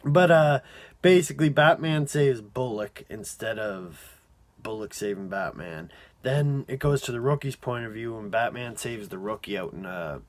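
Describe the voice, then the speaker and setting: A man giving a casual, off-the-cuff review as an amateur reviewer, rambling and halting, often padding with "like" and letting sentences trail off. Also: mid-range voice, animated.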